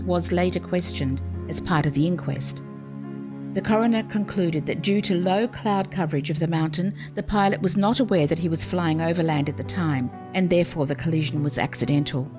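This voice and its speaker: A woman giving scripted historical narration in a measured, formal manner. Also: lowish voice. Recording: music playing behind.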